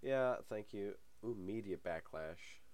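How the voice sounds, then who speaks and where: A man's voice: lowish voice, lively intonation, slow pace, darkish tone. A young man hosting a gaming channel, talking casually over a game. He has a cold and the sniffles, and he rambles with many ums and small chuckles. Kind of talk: casual let's-play commentary.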